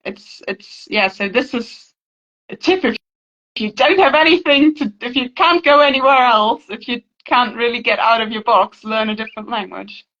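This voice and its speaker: Bright-voiced German woman in reflective conversation. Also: fairly high pitch, normal speed, highs cut off. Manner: thoughtful, searching for words.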